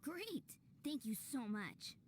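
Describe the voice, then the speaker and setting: A woman's voice: sits high, very animated, takes it slowly, slight background noise, full character voice. A woman voicing an earnest, modest young girl who trains to be a knight, in an anime-style video game. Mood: tired, earnest. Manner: modest, eager, wholesome.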